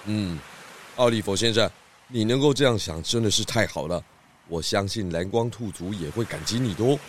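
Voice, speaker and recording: Man playing a low-voiced, genial pig detective. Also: normal speed, lightly acted. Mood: reassuring. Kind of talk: children's audio drama.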